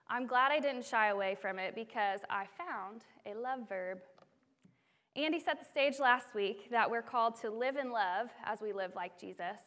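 A young woman preaching from a church stage, telling stories in an animated, self-deprecating way. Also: a little high. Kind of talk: sermon. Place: church sanctuary.

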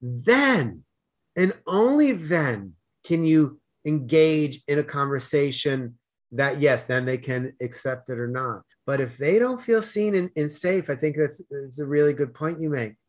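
A middle-aged man from Boston with a Boston accent, a guest talking earnestly in a casual interview and growing loud when animated. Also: a little high, measured pace.

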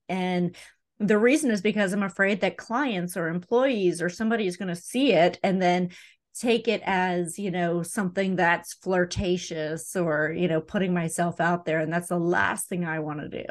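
A woman hosting an interview podcast, talking in a chatty way with many "you know" fillers. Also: lowish voice. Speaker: podcast host. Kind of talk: conversational interview.

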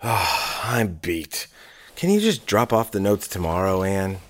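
An actor playing a tired, grumbling, skeptical radio scriptwriter with a dry, sardonic way of talking, in a period audio drama. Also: male voice, sits low, sing-song, slow pace, full character voice. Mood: weary.

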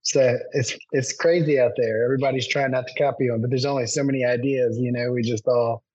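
A man's voice: mid-range voice, fairly even intonation, a little fast, darkish tone, highs cut off. A man hosting a podcast, chatting casually with a guest and often restarting his sentences. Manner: chatty, rambling with frequent restarts. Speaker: podcast host. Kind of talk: casual interview chat.

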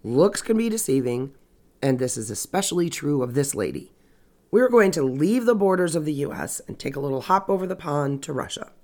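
A woman's voice: sits low, expressive, a little fast, darkish tone. A woman hosting a true crime podcast with a stuffy nose and a scratchy voice, narrating casually with wry, sarcastic asides. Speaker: podcast host.

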